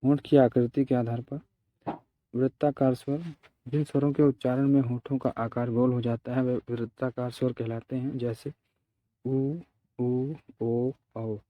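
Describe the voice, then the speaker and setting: A man's voice: medium pitch, little pitch movement, unhurried, no echo, phone-quality sound. A man teaching in a methodical way, reading out lesson notes and explaining them, repeating key terms and examples.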